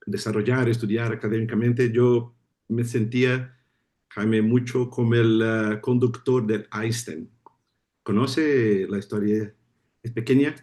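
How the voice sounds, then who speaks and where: A Brazilian man with a low voice gives a friendly opening to a lecture in Spanish, his second language. He stumbles and repeats words as he searches for phrasing, and his Portuguese accent comes through clearly. He speaks over a video call. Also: mellow. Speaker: guest speaker. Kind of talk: informal talk opening a lecture.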